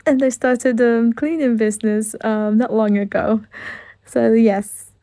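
A woman's voice: fairly high pitch, steady tone, unhurried, dark tone. A young woman from the Philippines with a Filipino accent, live-streaming from her phone indoors, gushing and giggling as she thanks viewers. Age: young adult. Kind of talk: casual live-stream chat reading comments. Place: indoor room. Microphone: phone held close at arm's length.

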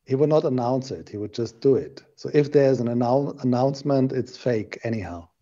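A middle-aged man speaking in a measured, thoughtful way in a casual video call discussion. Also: medium pitch, mellow. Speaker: panel guest. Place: indoor room on a video call. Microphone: desk microphone on a video call. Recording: dull lo-fi video call audio.

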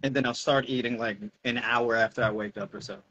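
A man's voice: mid-range voice, steady tone, a little fast, sharp tone. A middle-aged American man, a fitness coach, explaining things informally in a casual video-call Q&A. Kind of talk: casual Q&A chat. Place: indoor room at home, video call. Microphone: wired earphones on a video call. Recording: dull, muffled video-call audio.

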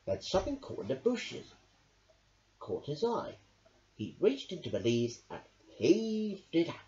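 A woman's voice: deep voice, measured pace. A woman reading a children's picture book aloud, expressively, as a storyteller. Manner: expressive, with big swoops into character voices. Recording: dull lo-fi home recording.

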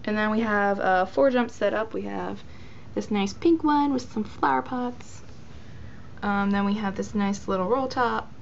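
A woman's voice: mid-range voice, creaky. A teenage girl casually narrating a tour of her toy horse barn, rambling with many ums and joking about being lazy. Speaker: model horse collector giving a tour. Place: indoors at a tabletop toy barn. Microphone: handheld camera mic. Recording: dull lo-fi camera audio with faint hiss.

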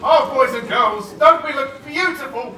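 A woman's voice: sits high, a little slow, a faint crowd, a little hiss or hum. A performer, a woman, playing a vain, vulgar, whining pantomime ugly stepsister, gushing and playing to the audience. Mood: preening. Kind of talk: live pantomime comedy acting. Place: theatre stage, recorded from afar. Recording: very echoey distant hall, music, loud audience.

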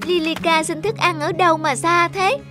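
A woman playing a kind-hearted young female dog owner in an animated moral tale, speaking earnestly. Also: very high-pitched, moderate pace, over music. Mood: amazed, tender.

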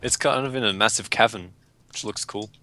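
A man's voice: lowish voice, a little fast, pushed and bright. A teenage boy with an Australian accent, joking around in casual chat while playing a game with friends over voice chat. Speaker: player.

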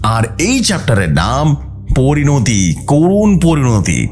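A man's voice: mid-range voice, huge pitch swings, slow, darkish tone, faint music behind. A man hosting and narrating horror stories in a dramatic, suspenseful way, drawing out key words for emphasis. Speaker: host of a horror story channel. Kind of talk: dramatic scripted horror storytelling.

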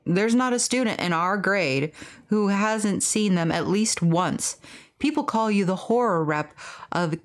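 A woman voicing a reluctant, skeptical high school boy in a horror video game. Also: expressive, unhurried, close to an everyday voice. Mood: doubtful, subdued.

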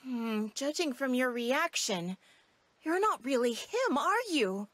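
A woman's voice: high voice, animated, slow, dry recording, full character voice. An actress playing an exasperated, bossy young woman in an anime video game dub, with a sassy, dramatic delivery. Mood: exasperated, worried. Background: loud music.

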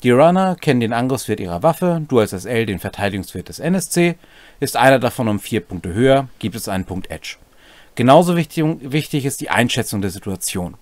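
Man in his forties, lively pitch, dry close sound, instructive rules explainer, mid-range voice. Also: fairly quick, dry recording. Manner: instructive, addresses viewers directly. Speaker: co-host explaining tabletop game rules. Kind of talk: rules explainer to camera, partly read from script. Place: small cluttered home room, indoors.

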